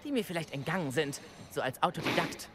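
An actor playing an eager, bookish young male wizard student in a German game dub, excitable and fussy about pronunciation. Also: a little high, quick pace, very noisy, close to an everyday voice. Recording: game audio with loud music behind.

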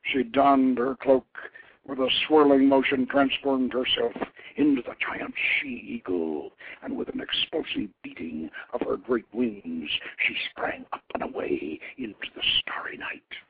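An older man reading a tale aloud in a solemn, theatrical, measured way, as a host telling a story. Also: mid-range voice, sing-song, vocal fry. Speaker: show host reading his own novelette. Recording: phone-like radio line with background noise.

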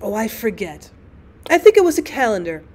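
A weary, tart wife from a classic storybook, read by a woman. Her fairly high voice carries wry, formal phrasing and practical impatience. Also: very animated, a little fast, mellow.

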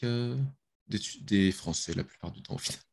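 A man's voice: on the low side, normal speed, darkish tone. A young man from France, a guest on a video-call podcast, talking casually about his app with slang and restarts, recorded over a headset in a small bedroom. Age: young adult. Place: small bedroom with gaming chair.

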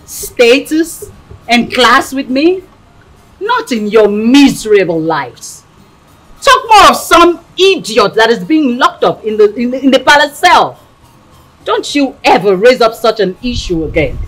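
A woman's voice: high voice, fairly bright tone, heavily acted, low-quality recording. A woman with a Nigerian accent playing a haughty, spiteful young princess in a screen drama, scolding and ordering people about with contempt. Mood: furious, contemptuous.

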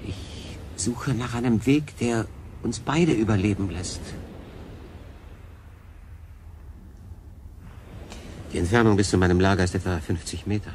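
An actor playing an earnest, brooding stranded man in a science fiction radio drama, speaking in a deliberate, probing way. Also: on the low side, darkish tone, slight background noise, natural voice. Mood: troubled, insistent.

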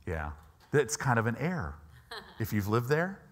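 Male pastor, 50s, American, medium pitch. Conversational, emphatic preaching on a church stage with a lapel mic. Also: big pitch swings, unhurried. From United States, Chicago and Southern California. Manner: emphatic, repeats phrases for stress, rhetorical check-ins. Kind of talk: conversational sermon. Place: church auditorium stage, indoors.

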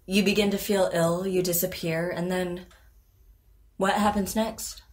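Composed, measured woman therapist, played by an actress. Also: fairly low pitch, flat delivery, close to an everyday voice. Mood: calm, probing. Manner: measured, formal, gently questioning. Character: composed, probing, reassuring female therapist. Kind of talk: naturalistic audio drama therapy session.

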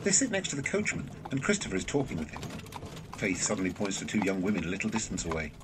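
A man's voice: low voice, wildly swooping pitch, quick pace, very noisy. A man narrating an audio drama from a script as storyteller.

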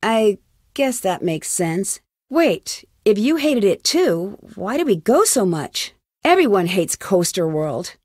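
A woman's voice: mid-range voice, sing-song, slow, a little mellow, no echo, studio quality. A woman playing a young American detective in a video game, inquisitive, determined and guarded, speaking in a direct, conversational way. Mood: casual, reflective. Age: young adult. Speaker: video game lead character. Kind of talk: scripted game dialogue.

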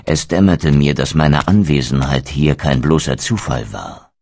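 Ein Schauspieler spricht einen bitteren, stolzen untoten Krieger in einem Videospiel, feierlich und wortgewandt. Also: male voice, a bass voice, measured pace, vocal fry, darkish tone, heavily acted. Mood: brooding. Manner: solemn, eloquent, theatrical. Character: bitter, proud undead wraith warrior, male. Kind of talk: dramatic video game narration and dialogue.

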